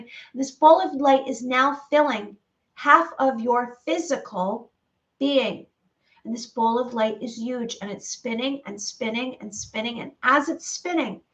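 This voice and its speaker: A woman leading a guided visualization meditation, speaking slowly with soothing repetition and pauses. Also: a little high, a bit bright, recorded from a distance, highs cut off.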